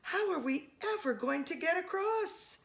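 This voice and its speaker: Storybook lady doing an excited young woman who loves animals, high voice, swooping and keen. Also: moderate pace, creaky, roomy sound, full character voice. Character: eager animal-loving girl and young woman, excited, wide-eyed.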